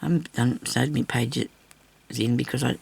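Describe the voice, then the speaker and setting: An older Australian woman with a low, husky voice roughened by a lingering cough, chatting casually and self-deprecatingly as she rambles through a craft video. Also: fairly even intonation, a little slow, a little mellow. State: lingering cough after weeks of sickness. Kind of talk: casual chat while hand stitching.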